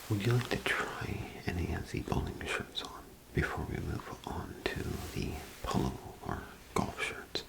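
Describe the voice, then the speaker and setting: A man doing an ASMR role play as a clothing salesman, with gentle, hesitant, unhurried patter. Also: deep voice, sing-song, creaky, low-quality recording.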